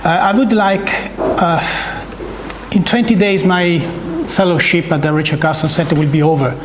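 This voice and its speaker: A man's voice: a little high, fairly even intonation, moderate pace, a little hiss or hum. A man from Italy with an Italian accent, giving a research talk in a seminar, with frequent fillers and restarts. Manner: hesitant, many fillers and restarts, repeated words. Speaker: academic speaker. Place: seminar room. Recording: echoey lecture room.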